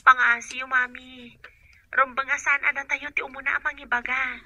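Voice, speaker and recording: A woman's voice: sits high, level tone, normal speed, bright, forceful tone, highs cut off, heavily acted. A woman with an Ilocano accent acting an obliging young woman in a radio drama. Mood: curious, coaxing.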